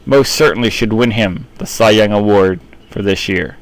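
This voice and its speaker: A man's voice: fairly low pitch, moderate pace, dry recording, poor audio. A man delivering baseball team commentary in the manner of an announcer addressing his audience. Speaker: sports newsreel commentator.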